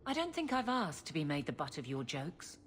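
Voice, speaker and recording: Low-voiced, blunt woman guard captain. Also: very animated, fairly quick. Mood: candid, teasing. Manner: dry, firm, no-nonsense. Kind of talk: video game cutscene acting. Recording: game audio with background ambient noise.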